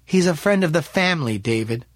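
A man acts out an inquisitive young woman in an audiobook story. She is spirited, earnest and direct. The delivery moves freely in pitch and is recorded dry, with some huskiness. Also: expressive, fairly quick, dry recording.

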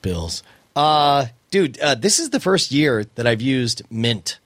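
Guy podcaster riffing casually, medium pitch, dry close sound. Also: very animated, takes it slowly, no echo. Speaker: podcast co-host. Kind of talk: casual chat.

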